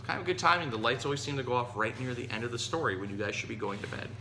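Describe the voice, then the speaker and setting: A man's voice: medium pitch, rapid-fire. A man hosting a children's storytime, reading aloud and chatting to young listeners. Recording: roomy echo, some noise.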